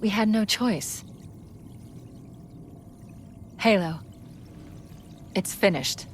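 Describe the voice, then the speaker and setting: A woman voicing a quick-witted, wry AI companion in a video game, crisp and assured. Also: mid-range voice, a little hiss or hum, lightly acted. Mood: somber, resolute. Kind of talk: cinematic game acting.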